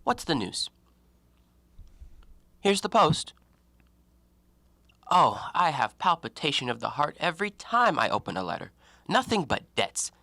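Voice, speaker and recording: A man playing a talkative, educated and self-righteous gentleman antiquarian in an audio drama, given to theatrical, argumentative speeches. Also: a little high, big pitch swings, unhurried, creaky, pauses often, no echo, full character voice. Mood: agitated, boastful. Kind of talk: stage-play acting in an audio drama.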